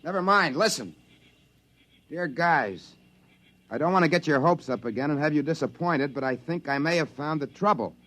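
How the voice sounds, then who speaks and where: Animated, rugged stranded man, radio play. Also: fairly high pitch, normal speed. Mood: alarmed, urgent. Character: plain-spoken stranded expedition man, rugged and fatalistic. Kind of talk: mid-century radio drama acting.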